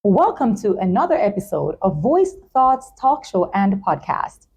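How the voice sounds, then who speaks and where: A woman's voice: medium pitch, big pitch swings, a little slow, a little mellow, slightly rough, muffled recording. A woman talk show host with an effusive, polite presenting manner, speaking in announcements and interview chat.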